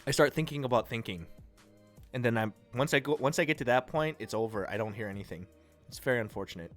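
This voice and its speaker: A congested, nasal-sounding man from the United States hosting a livestream, chatting casually and rambling through viewers' comments with a relaxed, self-deprecating manner. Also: mid-range voice, fast talker, faint music behind. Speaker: livestream host. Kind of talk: casual chat reading and answering viewer chat.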